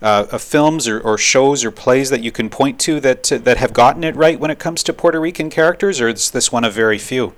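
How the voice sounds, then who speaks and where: A man's voice: mid-range voice, brisk, few pauses. A man interviewing a guest in a curious manner, often restarting his questions. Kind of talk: radio interview.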